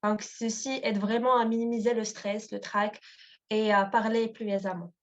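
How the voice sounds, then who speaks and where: A woman's voice: medium pitch, level tone, moderate pace, lo-fi sound. A woman guest on an online webinar who talks hesitantly, with many fillers and restarts. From Morocco. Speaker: guest, past contest laureate. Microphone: video call.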